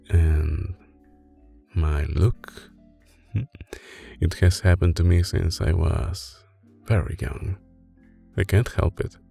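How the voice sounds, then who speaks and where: Man acting as Italian-accented mob boss boyfriend, low gravelly voice, soft ASMR delivery, controlled, dangerous but doting, music behind. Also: exaggerated voice. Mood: gentle, reassuring. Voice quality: deep, creaky. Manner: slow, deliberate, controlled, intimate. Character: soft-spoken, menacing, doting male mafia boss boyfriend. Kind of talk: ASMR roleplay, soft spoken with whispering.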